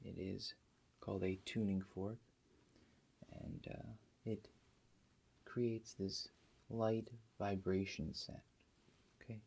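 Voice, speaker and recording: A soft-spoken, husky man doing an ASMR roleplay as a calm, reassuring doctor, explaining gently and without hurry, with pauses. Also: on the low side. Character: calm, reassuring doctor guiding a therapy session. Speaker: ASMR performer playing a doctor.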